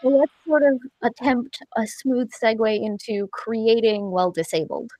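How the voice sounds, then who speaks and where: A woman's voice: fairly high pitch, normal speed, warm tone, no room sound, low-quality recording. A woman moderating a podcast panel in a casual, conversational style.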